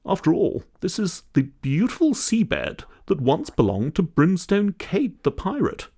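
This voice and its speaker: A man voicing a friendly, talkative old lady from a storybook, fussing briskly over a guest. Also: sits high, huge pitch swings, dark tone, slightly rough, pitched above the performer's own voice, full character voice. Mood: chatty, welcoming.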